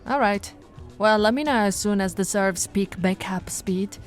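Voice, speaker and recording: A woman's voice: medium pitch, unhurried, faint music behind, natural voice. A woman voicing a flirty but firm policewoman in an ASMR roleplay, with a teasing, coaxing, authoritative manner. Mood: brisk, in command. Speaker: voice actor in roleplay audio.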